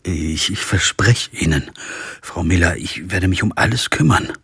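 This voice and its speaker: A man's voice: deep voice, vocal fry, bright, forceful tone, a little hiss or hum, heavily acted. Stammering, frightened man. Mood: nervous, placating. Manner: stammering, halting, appeasing. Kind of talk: radio drama acting.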